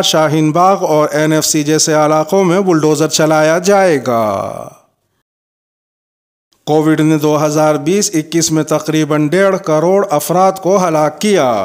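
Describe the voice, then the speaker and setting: A man presenting scripted news as host, in a formal, measured bulletin manner. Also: on the high side, smooth.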